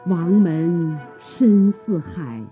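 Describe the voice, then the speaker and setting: Husky lady narrator, slow dramatic radio drama storytelling, tinny old recording. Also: fairly low pitch, soft background music.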